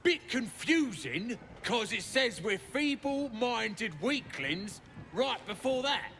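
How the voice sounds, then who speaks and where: An actor playing a dim, boastful goblin in a video game, chatting in a rough, rambling British voice. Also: male voice, very high voice, very animated, slow pace, sharp tone, a little hiss or hum, heavily acted. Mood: chatty, puzzled. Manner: colloquial, rambling. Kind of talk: video game character acting.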